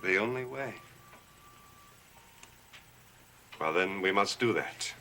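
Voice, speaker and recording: An actor playing the commanding, tormented master of a cursed manor in a 1960s gothic soap opera, stern and theatrical. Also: male voice, low-pitched, expressive, normal speed, noisy background. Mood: troubled, resigned.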